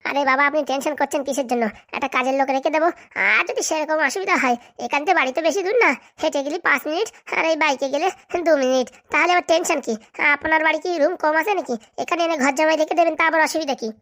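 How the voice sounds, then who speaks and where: A woman's voice: sits high, rapid-fire, a bit bright. A woman voicing a cocky, reassuring male sidekick in a comedy cartoon, chatty and boastful. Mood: breezy, reassuring. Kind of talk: Bengali comedy cartoon dub.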